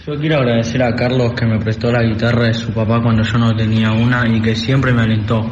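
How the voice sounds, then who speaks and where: A man's voice: mid-range voice, level tone, fast, darkish tone, highs cut off, a little hiss or hum, lightly acted. Teenage boy playing young guitarist, affectionate son, Rioplatense accent, amateur radio drama, long echoey reverb. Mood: grateful. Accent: Rioplatense Argentine. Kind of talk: amateur school radio play acting.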